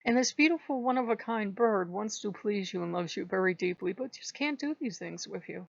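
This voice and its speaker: Hoarse woman rambling through a story. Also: medium pitch, animated, no echo. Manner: rambling, pauses to clear her throat. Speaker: channel host. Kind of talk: casual spoken storytelling. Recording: dull lo-fi recording.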